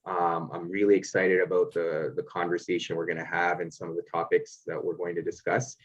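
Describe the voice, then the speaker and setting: A man's voice: deep voice, little pitch movement, fairly quick, muffled recording. A man hosting a podcast and life coaching, reading an earnest intro and then asking questions with many fillers.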